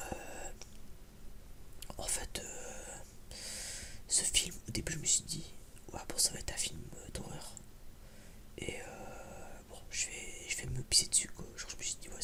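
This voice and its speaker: A man whispers a casual ASMR film review in a hesitant, rambling, informal way. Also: mid-range voice. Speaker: video creator giving a film review.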